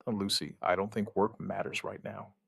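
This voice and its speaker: A man playing an outbreak survivor and protective partner, telling his story in measured, understated, short plain sentences as a scripted audio drama. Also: on the low side, little pitch movement, gravelly, a bit bright, slightly rough, no room sound, studio quality, full character voice. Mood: firm, reassuring. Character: male outbreak survivor recounting events, steady and protective partner. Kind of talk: first-person scripted narration of apocalyptic audio drama.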